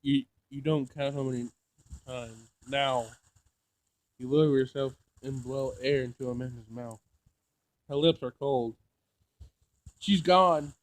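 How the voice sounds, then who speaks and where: A man playing through a visual novel, reading it aloud in an emotional, halting way with dramatic outbursts. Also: mid-range voice, no room sound, low-quality recording. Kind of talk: let's play narration with loud reactions.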